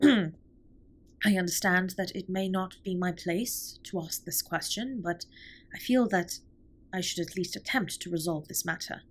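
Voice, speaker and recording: Alien spaceship captain played by a woman, audio roleplay. Soft husky voice, slightly low, precise formal diction, gentle earnest care. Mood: concerned, hesitant. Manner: formal, stiffly precise, measured wording. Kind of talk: scripted intimate comfort audio roleplay.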